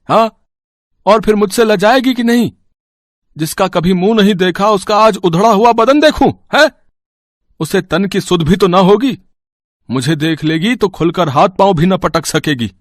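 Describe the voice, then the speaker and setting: A man playing a wheedling, callous old village labourer in an audio story, with drawn-out, plaintive rustic speech. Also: high voice, no room sound, clean studio sound. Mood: self-justifying. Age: older. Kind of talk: acted Hindi audio story dialogue.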